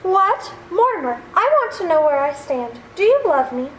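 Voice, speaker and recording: Woman acting a feisty young fiancée, very high voice, lively, radio drama, echoey noisy recording. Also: normal speed. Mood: indignant, pleading. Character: spirited, indignant young fiancée. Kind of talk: old-time radio play acting. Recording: echoey room, clear background noise.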